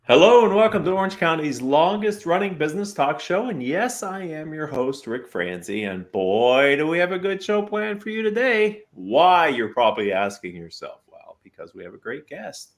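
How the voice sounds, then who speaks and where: An older man hosts his talk show with a jovial, showman's flair, his pitch leaping up and down in lively swings. He speaks over a video call. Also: normal speed, few pauses.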